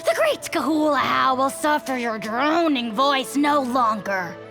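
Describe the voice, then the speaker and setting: A woman's voice: high voice, very animated, measured pace, pushed and bright, soft background music, exaggerated voice. A woman voicing a haughty, grandiose little dragon in a video game, pompous, theatrical and scornful. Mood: scornful, irritated.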